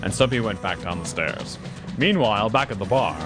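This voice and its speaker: A man's voice: on the low side, animated, a little fast, music in the background. A man narrating an adventure audio drama in a storytelling style.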